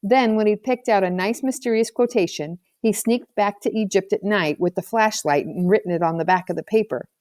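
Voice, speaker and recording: A woman narrates a children's storybook from a script. Her mid-pitched voice is recorded dry, with very little room sound. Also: fairly quick, few pauses, darkish tone, no echo. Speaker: audiobook reader.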